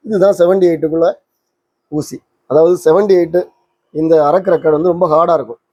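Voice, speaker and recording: A man presents an informal demonstration. His voice sits a little high for a man and has a soft, mellow tone. He speaks quickly, guiding viewers step by step, in a close, dry sound. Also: husky, no room sound. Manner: explains step by step, addressing viewers directly. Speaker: presenter of a record player tutorial channel.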